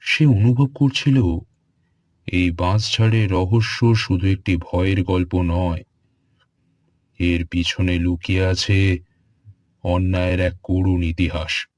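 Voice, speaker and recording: A man narrating a scripted horror story in a measured, dramatic way. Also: low voice, fairly even intonation, a little mellow, no room sound.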